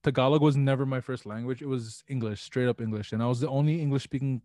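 A man's voice: medium pitch, fairly even intonation, fast, dark tone, dry recording, clean studio sound. A young man from the Philippines co-hosting a podcast, chatting casually in loose slang and laughing often. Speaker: podcast co-host.

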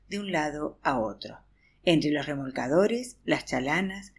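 A woman reads a first-person audiobook with a low, husky voice. She shifts into an animated, weary teacher talking to a noisy class. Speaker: audiobook narrator. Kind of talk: expressive audiobook reading.

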